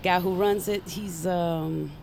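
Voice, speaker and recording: An actress playing a blunt, no-nonsense, mysterious mentor with a gruff delivery in an audio drama. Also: female voice, fairly even intonation, takes it slowly, darkish tone. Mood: wry, firm. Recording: faint vehicle rumble behind.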